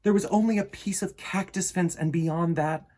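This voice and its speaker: A man in his forties with a slightly husky voice, reading and presenting a children's picture book in an expressive, dramatic way. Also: high-pitched. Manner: animated, dramatic, with deliberate pauses and emphasis. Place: small indoor room in front of bookshelves.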